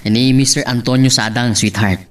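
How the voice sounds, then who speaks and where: A man's voice: medium pitch, steady tone, normal speed, slight background noise, natural voice. A man playing an apologetic but stubborn businessman husband in an Ilocano drama, with an Ilocano accent. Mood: apologetic, firm. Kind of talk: Ilocano radio-style serial drama acting.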